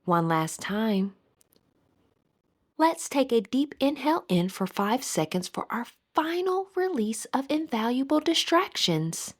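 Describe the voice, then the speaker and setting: Female meditation guide, high voice, slow pace, soothing, measured scripted delivery.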